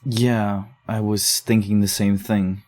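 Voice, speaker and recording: Low, mellow-voiced male investigator. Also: level tone, slow pace, soft background music. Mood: calm, earnest. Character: dogged, low-key man investigating psychiatric case files. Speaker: investigator digging through case notes. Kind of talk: psychological horror audio drama acting.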